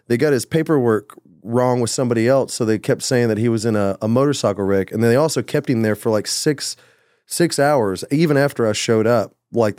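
A man's voice: medium pitch, darkish tone, no echo, studio quality. A man talking about his songwriting in a casual, rambling way, with lots of "like" and "you know.". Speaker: musician guest. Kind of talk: casual conversation.

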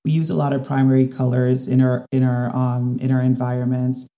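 A woman, a design specialist talking through her ideas in a casual interview, often pausing and restarting. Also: very deep voice, flat delivery, dark tone. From Caribbean. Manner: thoughtful, with frequent restarts and fillers. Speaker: design and construction specialist guest.

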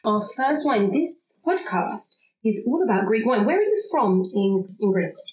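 A woman's voice: medium pitch, very animated, measured pace, a little mellow, slightly rough, poor audio. A woman hosting a wine podcast, speaking in an informal, chatty and enthusiastic way. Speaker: podcast host. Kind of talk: wine podcast narration and chat.

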